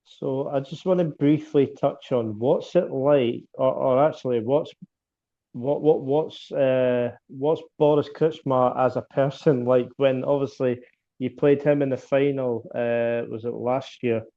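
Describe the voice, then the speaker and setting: A Scottish man hosts a casual podcast chat, tripping over his words and repeating himself as he asks questions. His voice is mellow and warm-toned. The recording sounds a little dull and lo-fi. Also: mid-range voice, steady tone, a little slow. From Scotland. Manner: stumbling, repeats words, laughs easily. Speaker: podcast host.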